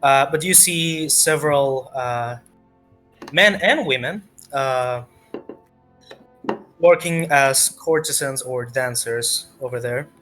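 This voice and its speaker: A man's voice: mid-range voice, slow pace, fairly bright tone, faint music behind. A man narrating a tabletop game as game master in a casual, improvised way, often searching for words.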